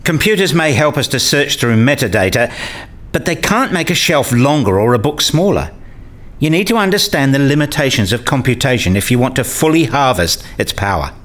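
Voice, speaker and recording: A man's voice: mid-range voice, sing-song, normal speed, a bit bright. A man acting in a scripted radio play as an earnest debater who explains his points insistently and didactically. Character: earnest, argumentative man debating ideas with a professor.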